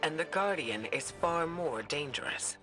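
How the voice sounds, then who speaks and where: An actor playing a cautious, firm military leader in a video game, measured, serious and watchful. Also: male voice, fairly high pitch, expressive, music in the background. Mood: wary. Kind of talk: scripted video game voice acting.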